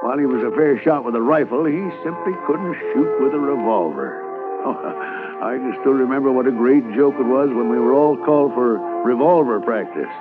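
An actor as an old Mountie inspector reminiscing. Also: male voice, mid-range voice, animated, moderate pace, natural voice. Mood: fond. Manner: storytelling, fond and chuckling. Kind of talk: 1950s radio drama acting. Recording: tinny old radio transcription with music.

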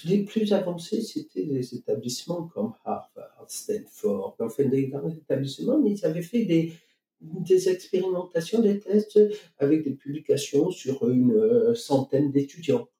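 A man from France gives conversational, explanatory answers as an interview guest, searching for his words. His voice is very dark and relaxed at an average pitch. It sounds echoey and a bit away from the microphone. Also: unhurried, a little hiss or hum. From France, Marseille. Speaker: interview guest, company co-founder. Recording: echoey room, distant from mic.

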